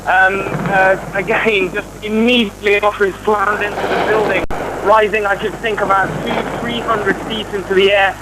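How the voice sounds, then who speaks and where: Halting high-voiced male war correspondent. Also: level tone. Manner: halting, searching for words. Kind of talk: live eyewitness news report. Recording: noisy live war report on tape.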